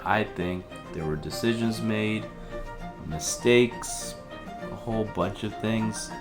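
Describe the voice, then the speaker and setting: A very low male voice from an actor plays a hesitant helpline listener in a naturalistic audio drama. He is conciliatory and evasive, feeling his way through each phrase, with faint music underneath. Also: slow pace. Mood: doubtful, conciliatory. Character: Theo, apologetic, evasive male helpline listener with a troubled marriage.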